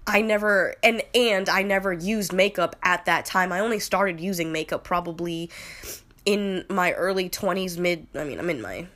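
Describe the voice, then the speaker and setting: A woman's voice: fairly low pitch, moderate pace, a bit bright. A woman in her late twenties with a slightly nasal voice from a cold, talking through her opinions in a loose, rambling monologue. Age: 20s. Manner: rambling, with many fillers and restarts. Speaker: podcast host.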